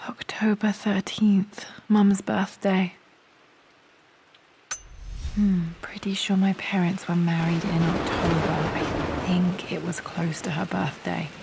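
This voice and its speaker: A woman playing a determined, reflective young British woman in a video game, thinking aloud softly in a breathy voice, often near a whisper. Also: lowish voice, flat delivery, slow, slight background noise. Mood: wistful, pondering. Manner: musing, understated. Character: determined, reflective young woman searching her late father's study.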